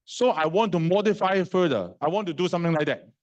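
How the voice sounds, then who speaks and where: A middle-aged man with a Hong Kong accent teaches a university class. His voice sits a touch high. He talks casually with restarts and fillers, and often turns to the students with questions. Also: expressive, highs cut off. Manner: conversational, fast and slow in turns, asks the class questions; non-native grammar, fillers and restarts. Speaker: university lecturer. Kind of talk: live lecture.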